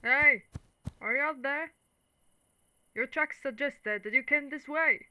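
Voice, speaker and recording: A woman's voice: high voice, slow pace, sharp tone, exaggerated voice, poor audio. A woman voicing a caring, wry female Dullahan, an undead knight, in a reassuring, teasing, conversational ASMR monster roleplay. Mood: searching, concerned. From Italy. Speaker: voice actress.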